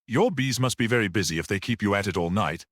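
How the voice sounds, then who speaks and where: A man voicing an enigmatic storybook gentleman who needles politely in an arch, probing way. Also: on the low side, lively intonation, fast, no echo, clean studio sound.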